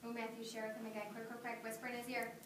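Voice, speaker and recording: A woman's voice: on the high side, completely flat delivery, very fast, background noise. A woman teacher reading a story aloud to a kindergarten class, praising and coaxing the children with lots of questions. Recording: roomy classroom audio from a distance.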